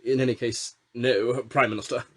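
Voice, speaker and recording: A man's voice: medium pitch, little pitch movement, normal speed, slightly rough, lightly acted. A man voicing a short, fidgety, nervous male minister who fawns and hesitates. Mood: timid. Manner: timid, hesitant, fawning.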